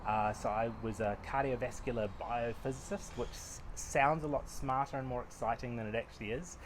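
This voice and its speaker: A New Zealand man in his forties answers interview questions in a loose, self-deprecating way, full of ums and restarts. His voice sits at a middle pitch. He is on an outdoor veranda with a lapel mic, with a faint hum of outdoor noise behind him. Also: brisk. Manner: relaxed, self-deprecating, many fillers. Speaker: acupuncturist and researcher.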